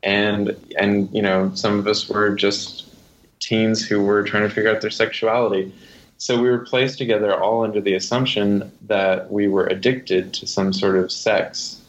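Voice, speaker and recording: Low-voiced man, conversational, dull audio. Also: flat delivery, moderate pace, a little hiss or hum. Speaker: guest author. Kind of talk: conversational interview answer.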